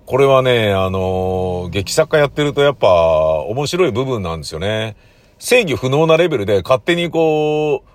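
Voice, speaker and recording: A man talking casually and at length as a playwright recounting his work, in a discursive, emphatic, colloquial way. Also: mid-range voice. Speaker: playwright and troupe leader.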